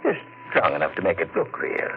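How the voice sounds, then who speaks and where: An eccentric, domineering old gentleman whose voice swoops dramatically up and down. The sound is thin and phone-like, with faint hiss, typical of an old radio broadcast. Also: deep voice, huge pitch swings, a little fast, full character voice. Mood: scheming. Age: older. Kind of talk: 1940s radio acting.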